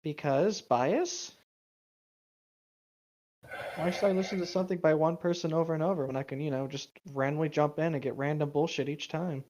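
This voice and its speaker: A podcast co-host chatting casually and reading a story aloud mockingly, blunt, exasperated and quick to swear. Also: mid-range voice, steady tone, a little mellow. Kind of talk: casual banter and mock fanfiction reading. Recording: dull, lo-fi microphone sound.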